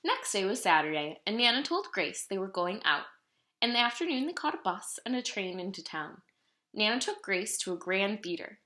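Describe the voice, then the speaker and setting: A woman reading a children's picture book aloud in an expressive, dramatic storytelling manner. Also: mid-range voice, normal speed. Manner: animated, dramatic storytelling delivery. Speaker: storybook reader. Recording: roomy, echoing room sound.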